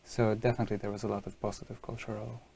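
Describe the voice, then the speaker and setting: Young dutch guy, student teacher, bit of fry, low voice, video call. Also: little pitch movement, mellow, a little hiss or hum. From Netherlands. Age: young adult. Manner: earnest, halting. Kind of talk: casual reflection.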